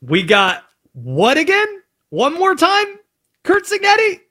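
A man with an American accent hosting sports talk in an emphatic, animated way, often asking rhetorical questions. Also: very high-pitched, slow. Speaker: sports talk show host.

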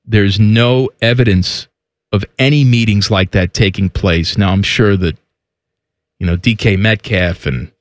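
A man's voice: sits low, dry recording. A man hosting a casual sports talk show, mocking and sarcastic in tone.

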